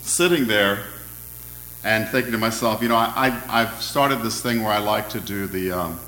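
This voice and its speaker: A man preaching an interactive sermon, asking his congregation questions in a loud, friendly voice. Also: on the low side, normal speed, reverberant, low-quality recording. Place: church hall.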